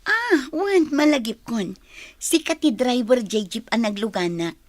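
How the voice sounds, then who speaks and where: A woman's voice: on the high side, lively intonation, measured pace, dry recording. A woman acting in a radio drama as a friendly young schoolteacher, effusive and grateful in manner.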